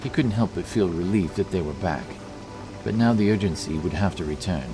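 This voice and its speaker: A man's voice: deep voice, fairly quick. A man reading scripted narration for a fantasy audiobook. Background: music.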